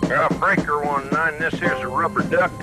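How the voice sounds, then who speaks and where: A man's voice: fairly high pitch, steady tone, moderate pace, over loud music. A man talking in CB radio slang as an operator making a call.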